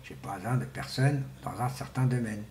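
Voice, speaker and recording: A man giving an informal, rambling monologue to viewers, speaking haltingly and often repeating words and restarting. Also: mid-range voice, a little mellow. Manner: often clears throat. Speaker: vlogger. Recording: echoey room with faint background noise.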